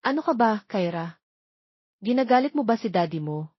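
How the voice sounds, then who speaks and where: Woman acting a cheeky young nanny, husky voice. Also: mid-range voice, expressive, normal speed, dry recording, lo-fi sound. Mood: teasing. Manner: playful, teasing.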